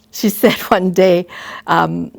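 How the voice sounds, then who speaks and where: An older woman, a retired school principal, telling gentle, halting stories and advice to students. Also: lowish voice, creaky, no echo. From Canada. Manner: gentle, halting, with frequent restarts and soft asides. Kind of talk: reflective storytelling to students.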